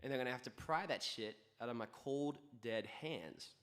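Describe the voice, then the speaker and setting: A man's voice: medium pitch, a bit bright, soft background music. Brief: male musician, loose rambling chat between songs, swears freely, easygoing, speeds up in bursts. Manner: rambling, profane, laid-back with quick bursts. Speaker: singer-songwriter hosting a livestream.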